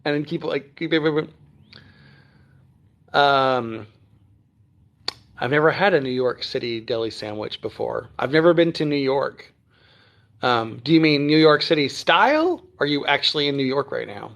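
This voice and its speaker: A man from Texas who speaks standard American, hosting a casual phone livestream from home and chatting through viewer comments with many ums and throat clears. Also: medium pitch, animated, normal speed, pauses often, a bit bright. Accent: none, trained standard American. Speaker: livestream host. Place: at home near Dallas.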